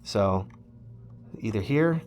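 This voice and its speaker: A man's voice: lowish voice, animated, takes it slowly, a little mellow. A man casually walking viewers through a hands-on DIY project, thinking aloud in an informal way as he goes. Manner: informal, thinking aloud while handling parts. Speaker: host of a DIY electronics project video.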